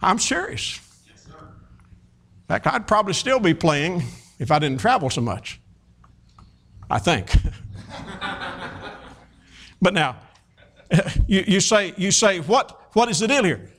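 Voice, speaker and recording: A man in his fifties preaching a sermon, folksy and animated, telling stories with many fillers and restarts. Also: sits high, slow pace, pauses often.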